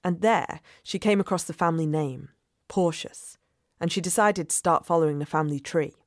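A woman reporter and podcast host giving steady, clear scripted narration. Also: deep voice, normal speed, dry recording, clean studio sound.

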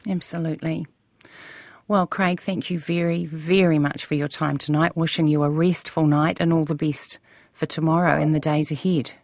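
A radio interviewer asking questions in a measured, polite, courteous way. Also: medium pitch, little pitch movement, a little mellow, dry recording, clean studio sound.

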